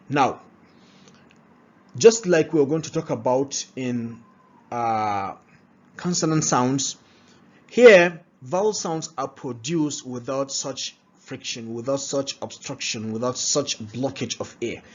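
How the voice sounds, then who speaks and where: Husky man teaching pronunciation. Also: medium pitch, a little mellow. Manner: asks rhetorical questions, peppers speech with "all right?". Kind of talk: classroom-style lesson. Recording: dull lo-fi recording.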